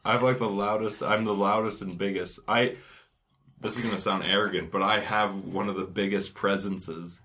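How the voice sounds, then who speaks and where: A man's voice: fairly low pitch, fairly even intonation, normal speed, pushed and bright, a little hiss or hum. A young man in casual, opinionated conversation, bantering as a student podcast host. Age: young adult. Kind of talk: casual chat.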